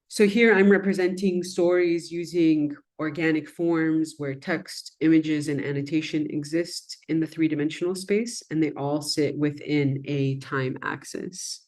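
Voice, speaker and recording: A woman's voice: low-pitched, darkish tone. A woman, a native Arabic speaker, walks an audience through her design work in an easygoing, thoughtful way, with the odd "um" as she goes. Her tone is conversational rather than polished, like a talk built around slides. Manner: reflective and conversational, with frequent "um" and "kind of". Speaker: design educator presenting her research.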